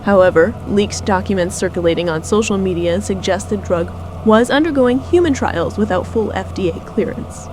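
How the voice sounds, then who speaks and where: A woman playing a composed TV news anchor, reading grave emergency bulletins in a formal, measured way. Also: medium pitch, noisy background, natural voice. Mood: formal, serious. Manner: formal, measured newsreader delivery. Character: composed female TV news anchor covering a deadly outbreak. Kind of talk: scripted breaking-news narration.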